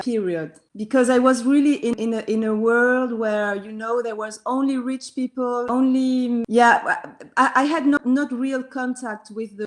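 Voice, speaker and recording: Middle-aged woman, her voice sitting a little high. She talks hesitantly as an interview guest, restarting her sentences while she hunts for the right English words. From Belgium. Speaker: interview guest, actress and singer. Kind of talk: casual interview chat.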